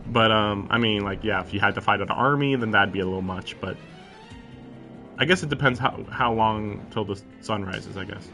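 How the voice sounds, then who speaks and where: A man's voice: on the low side, moderate pace, sharp tone. A man live-streaming a visual novel, reading the narration aloud and breaking into casual, incredulous commentary with some swearing. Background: game music.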